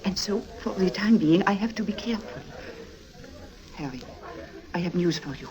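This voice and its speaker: A woman's voice: fairly low pitch, lively intonation, a crowd in the background, close to an everyday voice, poor audio. A woman playing a shrewd, secretive con artist with a Central European accent, speaking in careful phrases in 1950s radio drama style. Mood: confiding. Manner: deliberate, careful foreign phrasing.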